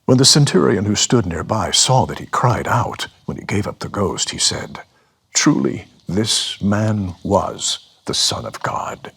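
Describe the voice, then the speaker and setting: A man's voice: on the low side, sing-song, a little slow, gravelly, fairly bright tone, breathy edge, exaggerated voice. A man performing a dramatic narration of a scripture story.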